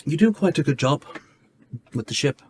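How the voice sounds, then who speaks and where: A man role-playing at a tabletop game, speaking in a measured, gentle way. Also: fairly high pitch, expressive, husky. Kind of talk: in-character roleplay and table talk. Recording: noisy room ambience.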